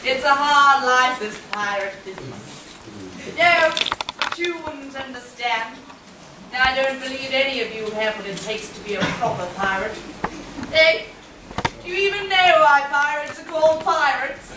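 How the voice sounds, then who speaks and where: A woman's voice: high-pitched, lively intonation, measured pace. A woman playing a blustering, easily offended pirate in a youth stage pantomime, with a scolding, theatrical delivery. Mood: scornful. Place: stage in an echoey hall, far microphone. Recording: echoey distant stage recording with noise.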